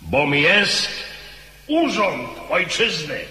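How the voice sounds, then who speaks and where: Stern, forceful commander, echoing. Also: male voice, on the high side, sing-song, extremely slow, full character voice. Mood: solemn, pleading. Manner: solemn, declamatory. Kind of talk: theatrical radio drama acting. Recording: echoey hall with long reverb.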